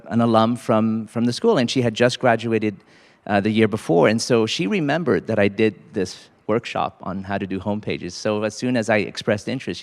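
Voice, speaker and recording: A man in his forties from Cambodia, an associate dean giving a casual, halting talk to students with many fillers. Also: mid-range voice, in a large hall. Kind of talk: faculty panel talk to students.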